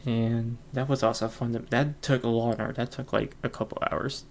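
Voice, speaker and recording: Gravelly-voiced guy rambling casually. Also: medium pitch, steady tone, normal speed, darkish tone, slight background noise. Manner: rambling, heavy on fillers, chuckles often. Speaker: podcast host. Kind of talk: casual solo podcast chat.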